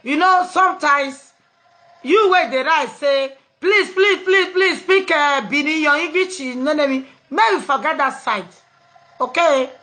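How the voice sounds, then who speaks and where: A Nigerian woman with a high, bright-edged voice hosts a live online show. She slides easily between pidgin and other tongues, chatting with callers and laughing often. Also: unhurried. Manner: mixes pidgin, English and local languages, laughing easily. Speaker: host of an online live program. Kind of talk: casual live chat with callers.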